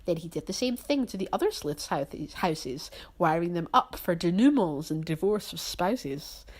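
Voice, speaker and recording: Expressive, lower-pitched woman telling a rhyming story. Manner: theatrical, expressive delivery with drawn-out emphasis.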